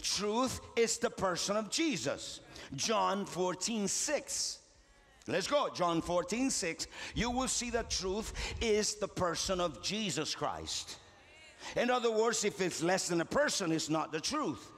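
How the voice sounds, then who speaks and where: A man's voice: sits high, big pitch swings, slow, fairly bright tone. A middle-aged man, preaching a fiery sermon with call-and-response in a large church auditorium. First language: Spanish. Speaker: pastor. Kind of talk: impassioned sermon. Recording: live church hall reverb with music. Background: congregation and faint music.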